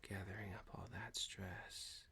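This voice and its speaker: Male ASMR meditation guide, low and creaky, breathy half-whisper, soothing delivery with long pauses. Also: little pitch movement. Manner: soothing, hushed, lingering pauses.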